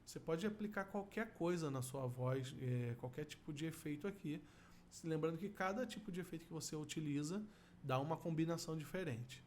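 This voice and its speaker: A middle-aged man with a Brazilian accent giving an informal software tutorial as an audio effects instructor, explaining step by step. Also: on the high side, brisk. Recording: steady room noise.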